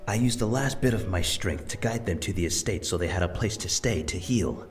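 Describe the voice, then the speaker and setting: An actor playing a wise, gentle grandfather spirit in a visual novel, speaking calmly and reassuringly, with soft music behind. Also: deep voice, a little fast. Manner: calm, tender, reassuring.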